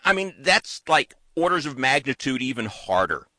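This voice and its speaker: A man from the United States talks through a casual political chat in long, winding runs, thinking out loud as he goes. His pitch swings a lot and his tone is bright and forward. The sound is dry and close to the mic. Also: very animated, moderate pace, no room sound.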